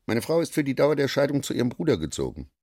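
Husky, boastful businessman. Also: mid-range voice, sing-song, fast, darkish tone, no room sound, clean studio sound. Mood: agitated, confiding. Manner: chatty, philosophizing, boastful. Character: garrulous middle-aged businessman, newly prosperous and self-satisfied. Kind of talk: radio drama dialogue.

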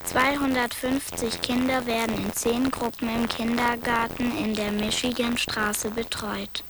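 A woman with a somewhat high, gravelly voice reads very slowly and steadily. Noise is clearly heard behind her. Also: level tone. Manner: slow, measured reading. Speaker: narrator. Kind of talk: scripted documentary reading. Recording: noisy background ambience.

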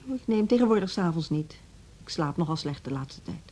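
An actress playing a troubled, formal, disapproving woman music teacher in a radio play, measured and reserved. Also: low-pitched, expressive, dark tone, slightly rough. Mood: troubled, withdrawn. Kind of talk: Dutch radio play acting.

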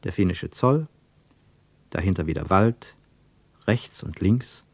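A man narrating a literary radio travelogue in a measured way, with dramatic weight on single words. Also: sits low, expressive, husky, dry recording.

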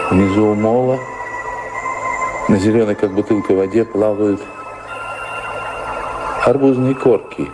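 Slow, low-voiced brooding nobleman. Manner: slow, pensive, with heavy pauses. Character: brooding, world-weary lord of a castle. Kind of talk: radio play acting. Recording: loud music under the voice.

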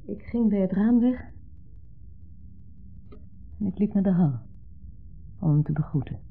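A woman's voice: medium pitch, animated, unhurried, gravelly, husky, heavily acted. A female actor playing a composed wife caught between two men in a 1970s Dutch radio drama, restrained and clipped in her replies. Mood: wistful recollection. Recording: muffled old 1970s radio recording.

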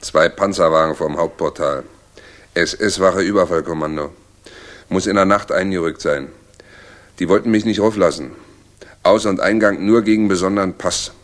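A man's voice: deep voice, moderate pace, close to an everyday voice. A man acting a loyal, plain-spoken orderly in a 1960s German radio drama, deferential yet folksy. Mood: dutiful, concerned.

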